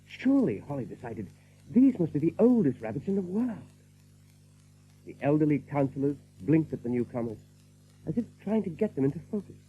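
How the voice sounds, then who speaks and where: Lively male narrator, thin narrow-band sound. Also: on the high side, brisk. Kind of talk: dramatic audiobook narration. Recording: narrow-band recording with faint hum.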